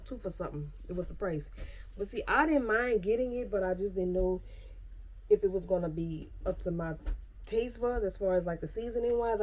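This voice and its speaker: A woman's voice: medium pitch, dark tone, low-quality recording. Stuffy-nosed woman chatting to her phone. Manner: chatty, rambling, addresses viewers as y'all. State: stuffy nose from a cold. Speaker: vlogger. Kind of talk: casual vlog chat. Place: at home.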